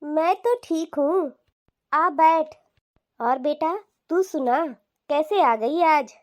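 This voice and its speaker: A woman's voice: high-pitched, drawn-out words, a little mellow. A woman voicing a scornful, bossy middle-aged mother-in-law with a mocking, dismissive way of talking in a cartoon family drama. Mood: casual. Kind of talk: animated family drama dialogue.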